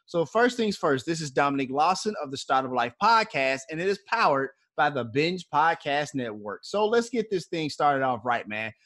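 A man hosting a startup podcast in an upbeat, energetic way, often repeating short phrases of agreement. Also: fairly high pitch, moderate pace, hardly any pauses. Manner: upbeat, repeats phrases like "for sure" and "got you". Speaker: podcast host.

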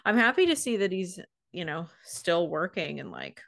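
A woman's voice: lowish voice, lively intonation, normal speed, gravelly, a bit bright. A woman with an American accent chatting casually as co-host of a podcast. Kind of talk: casual chat.

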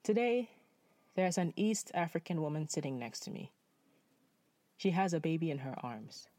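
A young man from Nepal telling a personal story in spoken-word style, measured and reflective. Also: a little high, expressive, warm tone, no echo.

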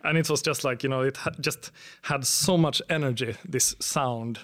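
A man's voice: mid-range voice, normal speed, fairly bright tone. A man from Finland with a Nordic accent, chatting casually as an interview guest, easygoing and a little hesitant in his phrasing. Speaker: interview guest, game sound designer. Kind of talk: casual chat. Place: in person at a table, at a conference.

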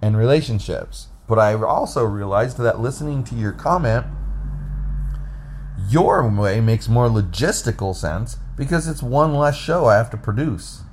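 A man's voice: mid-range voice, expressive, a little slow, a little mellow, a little hiss or hum. A man co-hosting a casual, unscripted podcast, explaining things in a rambling way into a phone. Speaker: podcast co-host. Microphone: recorded into a phone app.

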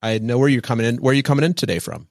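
A man's voice: medium pitch, lively intonation, rapid-fire, darkish tone, no room sound. Man, podcast host, enthusiastic, chatty, dry close sound. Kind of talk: podcast interview.

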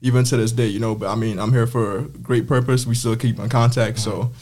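A young man from the Caribbean chats in a relaxed, easygoing way as a podcast guest. He laughs easily and tells his story plainly, with a voice of middle pitch. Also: fairly quick. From Caribbean, Saint Croix. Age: young adult. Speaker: guest, campus barber. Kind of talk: casual podcast chat.

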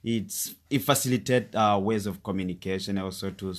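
A young Rwandan man with a fairly low voice talks as a podcast guest. He is hesitant and fills his pauses with many "uh"s, in relaxed conversational English spoken as a second language, Kinyarwanda being his first. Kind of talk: casual interview chat.

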